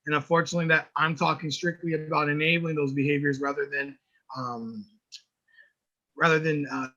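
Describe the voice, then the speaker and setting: A man with a raspy voice who works as an early intervention teacher, talking earnestly in a casual podcast chat and often repeating words as he finds his point. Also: fairly high pitch, steady tone, normal speed, pushed and bright, muffled recording.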